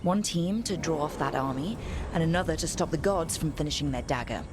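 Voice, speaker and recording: An actress playing a resolute fantasy team leader in a video game, decisive and commanding. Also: female voice, sits low, lively intonation, fairly quick, noisy background. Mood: steady resolve. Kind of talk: video game drama acting.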